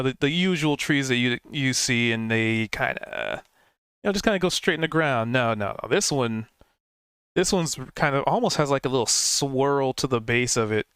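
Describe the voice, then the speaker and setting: A man's voice: medium pitch, a bit bright, clean tone, no room sound, studio quality. A man narrating as game master in a rambling, descriptive way, full of fillers.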